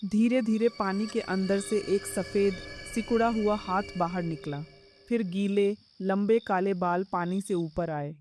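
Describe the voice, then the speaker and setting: A woman's voice: medium pitch, steady tone, measured pace, over music. A woman giving scripted narration of a horror story as its narrator.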